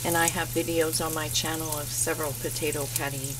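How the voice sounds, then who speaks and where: Woman cooking over loud sizzling pans. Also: low voice, fairly quick. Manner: relaxed, step-by-step, talks to herself while working. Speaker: home cooking vlogger. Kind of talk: casual narration while cooking. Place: home kitchen at the stove. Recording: home video with loud sizzling noise. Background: loud frying and sizzling.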